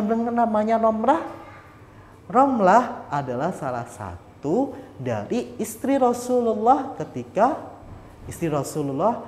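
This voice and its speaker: A man telling an Islamic story to children, often asking them questions. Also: sits high. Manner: slow, lively, quizzing the children. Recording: echoey hall with faint ambience.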